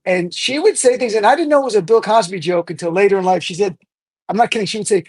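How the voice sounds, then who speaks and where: A man's voice: high voice, fast talker, a little mellow, breathy edge, no echo, studio quality. A middle-aged American man hosting a talk show in a conversational interview style.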